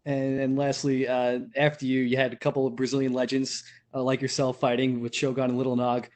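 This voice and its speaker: A man's voice: medium pitch, completely flat delivery, fairly quick, darkish tone. A male reporter asking a fighter questions, slightly stumbling at the start. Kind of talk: press conference question.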